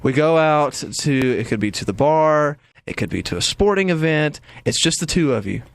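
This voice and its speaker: A man's voice: fairly high pitch, animated, moderate pace, vocal fry, dry recording. A young man hosting a casual morning radio chat on a studio microphone. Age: young adult. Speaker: radio show host.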